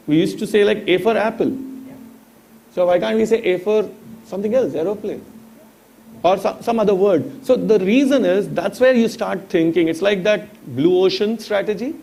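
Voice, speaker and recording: High-pitched, gravelly Indian man giving a talk. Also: a little mellow, a little hiss or hum. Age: 30s. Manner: didactic, storytelling, rhetorical questions. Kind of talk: motivational talk with anecdotes.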